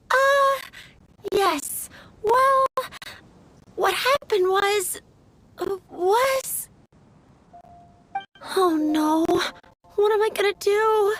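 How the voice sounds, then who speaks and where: Sweet, very high-voiced young flower girl. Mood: flustered, distressed. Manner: polite, earnest, hesitant. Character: sweet, timid young female flower seller. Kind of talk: anime game voice acting. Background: faint music.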